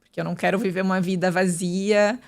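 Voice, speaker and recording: A woman's voice: on the low side, little pitch movement, fast, vocal fry. A woman, a podcast guest talking candidly and casually, with many fillers and restarts. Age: 30s. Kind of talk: casual interview chat.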